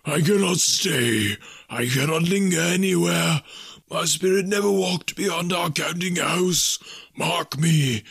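A man voicing a mournful chained ghost in a classic story reading, with slow, solemn, wailing declamation. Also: a little high, creaky, a bit bright, breathy edge, dry recording, studio quality, pitched above the performer's own voice, full character voice. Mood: weary, sorrowful. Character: mournful male ghost in chains, tormented by remorse.

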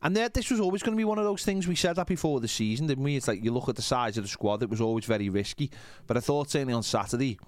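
A man's voice: medium pitch, lively intonation, very fast, hardly any pauses, dry recording. Male sports podcast panellist, conversational, emphatic and rambling, close dry sound. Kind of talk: casual sports chat.

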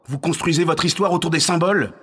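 An actor playing an insistent, scheming counsellor to a Roman ruler in a French audio drama, in a reverberant hall. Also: male voice, a little high, fast talker, fairly bright tone, breathy edge. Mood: insistent, indignant. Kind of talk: French audio fiction acting.

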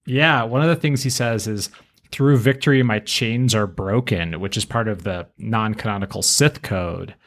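Man, fairly low voice, podcast co-host, conversational fan commentary. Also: moderate pace, a bit bright. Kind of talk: casual fan discussion.

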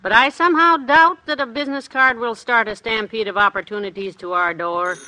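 A woman acting a patient, middle-aged housewife with a dry, gently needling manner in 1950s radio comedy. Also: fairly high pitch, a little fast, slight background noise, poor audio. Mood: sceptical. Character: patient, sceptical, wryly teasing housewife.

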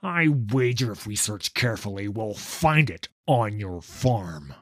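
A man voicing a stern, bitter mage hunter from a fantasy story, rough-voiced, sneering and accusatory. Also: fairly low pitch, very animated, measured pace, a bit bright, no room sound, clean studio sound, exaggerated voice. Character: stern, bitter male mage hunter, grieving and menacing interrogator.

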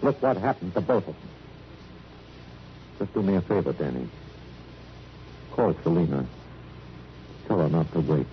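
An arrogant gangster from a vintage radio crime play. His voice is on the low side, smooth and sardonic, full of disdain. The sound is muffled with faint noise. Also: sing-song, moderate pace, pauses often. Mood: cool, menacing. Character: suave, arrogant male gangster with fine tastes. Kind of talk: 1950s radio crime drama acting.

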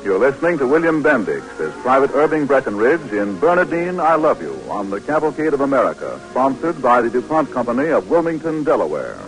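A man's voice: medium pitch, big pitch swings, fairly quick, hardly any pauses, music in the background, rough recording. A male announcer reading in a formal, polished 1940s radio style.

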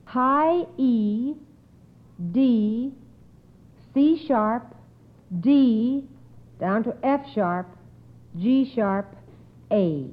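A woman hosting an old-time radio quiz in a formal, measured, encouraging way with precise wording. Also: on the high side. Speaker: quiz show host. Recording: tinny, narrow old radio recording.

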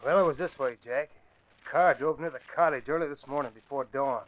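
A man's voice: medium pitch, a little fast, natural voice. An actor playing a jittery, insolent gangster henchman with slangy, defensive talk in an old radio drama. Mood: defensive, uneasy. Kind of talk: 1940s radio crime drama acting. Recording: dull vintage radio recording.

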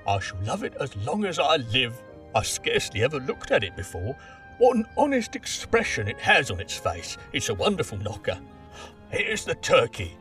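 A man's voice: mid-range voice, huge pitch swings, normal speed, a bit bright, recorded from a distance, faint music behind. A man playing an elated, breathless old miser turned generous in an audio drama, exclaiming with exuberance. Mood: gleeful warmth.

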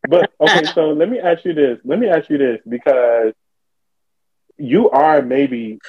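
A man's voice: a little high, a little slow, slightly rough, dry recording. A young man in casual podcast chat, pressing with probing questions. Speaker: podcast co-host. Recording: tinny phone-like podcast audio, dry.

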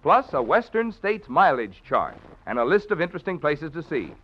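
A man's voice: fairly high pitch, big pitch swings, normal speed, muffled recording. A man announcing on 1940s radio, reading sponsor messages and credits in a polished, formal style. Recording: old band-limited radio broadcast, music behind.

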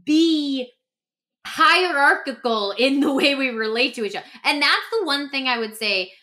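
A woman hosting a podcast as a coach, talking casually and emphatically in an animated, informal way. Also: sits high, a little slow. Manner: animated, emphatic, acts out imagined quotes; informal, peppered with "like". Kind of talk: casual conversational podcast talk.

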